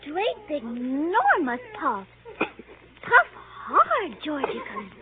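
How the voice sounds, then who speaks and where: A woman's voice: sits high, huge pitch swings, slow, narrow, tinny audio, noisy background, exaggerated voice. An actress playing a coquettish young woman who gushes cloying baby talk to her lapdog in a 1930s radio comedy. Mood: excited, egging on. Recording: thin, band-limited 1930s radio recording, crowd.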